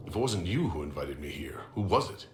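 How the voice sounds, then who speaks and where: A man's voice: low voice, big pitch swings, brisk. An actor playing a firm, pragmatic hero in a video game, direct and assertive as he negotiates. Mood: challenging. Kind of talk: dramatic video game acting. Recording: roomy echo, faint noise.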